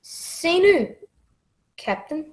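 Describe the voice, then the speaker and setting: Woman as timid boy of fourteen, high voice, polite, hesitant, deferential, radio play. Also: sing-song, very slow, darkish tone, heavily acted. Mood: timid, obedient. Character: polite, timid fourteen-year-old English innkeeper's boy.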